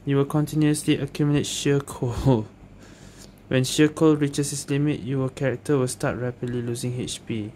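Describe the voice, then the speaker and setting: A man's voice: medium pitch, fairly even intonation, moderate pace, a little mellow, slight background noise. A man doing casual gameplay commentary, muttering his reactions and reading game text aloud. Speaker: gaming video host playing an action RPG. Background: game music and effects.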